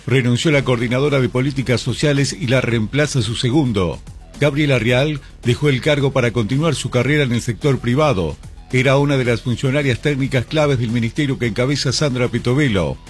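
A man's voice: medium pitch, fairly quick. A man with an Argentine accent reading scripted radio news as a presenter. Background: music bed.

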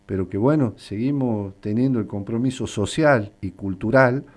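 A man from Catamarca, Argentina, with a Catamarca accent, a singer guesting on a radio interview who talks in an unhurried way, with many hesitations. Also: mid-range voice. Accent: Catamarca Argentine Spanish. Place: radio studio.

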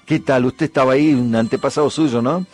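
An Argentine man in the middle of his pitch range tells history in a loose, rambling way. He fills his talk with "eh" and "¿no?" tags, and soft music runs faintly underneath. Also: a little fast. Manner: chatty and digressive, with frequent "eh" and "¿no?" asides. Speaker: program host. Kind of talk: casual history storytelling.

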